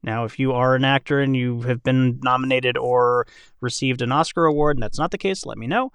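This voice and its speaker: A man's voice: mid-range voice, a little fast, a bit bright, clean tone, dry recording. A man hosting a casual podcast panel, talking in a rambling way and qualifying himself as he goes. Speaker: podcast host and voice actor.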